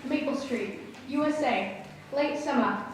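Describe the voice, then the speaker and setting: A woman's voice: sits high, unhurried. A young woman playing the solemn narrator of an eerie stage radio play. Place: school stage performance. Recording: echoey hall, distant mic, faint noise.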